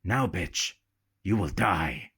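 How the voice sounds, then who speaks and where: Man acting a low, menacing French pirate captain. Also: expressive, slow, fairly bright tone, breathy edge, full character voice. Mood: cold, menacing.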